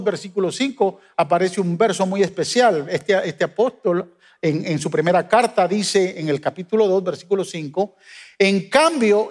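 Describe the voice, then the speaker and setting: A Guatemalan man preaching a sermon as pastor, with emphatic rises and sudden soft drops. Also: sits high, in a large hall. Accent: Guatemalan Spanish. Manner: emphatic preaching, swings between loud peaks and soft drops.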